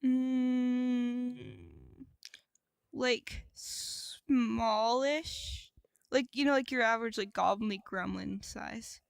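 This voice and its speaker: A woman co-hosting a podcast in casual chat, describing things haltingly and saying "like" a lot. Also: on the high side, gravelly, clean tone, dry recording, studio quality. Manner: halting, improvised descriptions with frequent "like". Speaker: podcast co-host.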